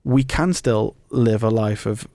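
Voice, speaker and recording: A man's voice: fairly low pitch, a little slow, dark tone, no room sound. A man hosting a podcast, talking conversationally about faith in an earnest, explanatory way. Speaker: podcast host. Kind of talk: conversational talk on faith and football.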